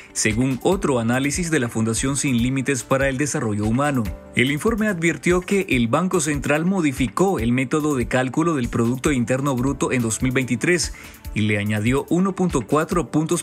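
A man reading a scripted news voice-over in a steady, formal delivery. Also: mid-range voice. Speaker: news narrator.

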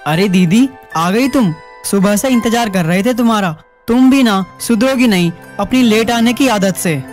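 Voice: mid-range voice, moderate pace, faint music behind, lightly acted. An actor voicing an earnest, emphatic young husband and protective brother in a cartoon. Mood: friendly, puzzled.

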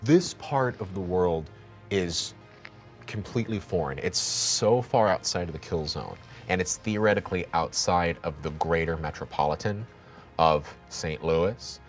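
A man's voice: sits low, unhurried, soft background music. A man in his thirties narrating a tabletop game as game master, in a loose, meandering way with fillers, at a table on a studio set.

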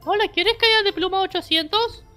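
A cheeky little kid sidekick chatters through a Minecraft game. The squeaky voice is extremely high and sharp, quick to repeat itself in excitement, with music underneath. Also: female voice. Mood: relaxed. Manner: repeats short phrases excitedly. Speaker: co-player. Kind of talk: Minecraft roleplay gameplay chat. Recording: music behind the voice.

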